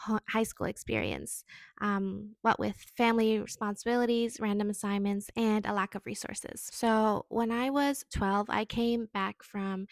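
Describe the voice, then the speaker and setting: Woman in her 20s from indonesia chatting to camera, lots of "like"s, mid pitch, dry sound. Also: dry recording. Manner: chatty, reflective, frequent "like" fillers. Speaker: vlogger. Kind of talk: casual talking-head vlog.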